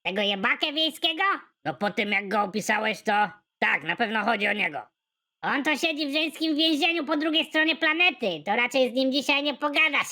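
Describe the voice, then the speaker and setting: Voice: high voice, lively intonation, brisk, bright, forceful tone, voice pushed up, exaggerated voice. Grumpy barking cartoon prison guard, put on by the narrator. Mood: irritable. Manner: barking, irritable, bossy. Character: grumpy bossy male prison guard, obsessed with quiet. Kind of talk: animated comedy voice acting.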